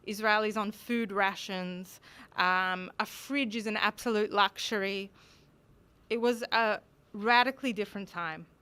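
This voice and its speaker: An Australian woman gives a conference talk, laying out her points in a steady, explanatory manner. Her voice is bright and crisp, and it sounds smooth throughout. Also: medium pitch, measured pace. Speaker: conference speaker.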